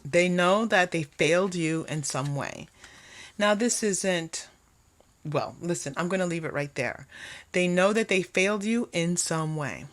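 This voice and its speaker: A woman's voice: fairly low pitch, expressive, a little slow. A woman doing an unscripted tarot card reading, musing aloud and repeating phrases for emphasis as she addresses the viewer. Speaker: tarot reader.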